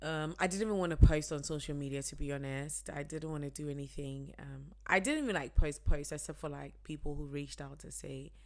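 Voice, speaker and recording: This is a woman with a notably low-pitched voice, co-hosting a casual podcast with her husband. She talks in a relaxed, chatty way and laughs easily. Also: brisk, few pauses. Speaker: podcast co-host. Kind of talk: casual chat.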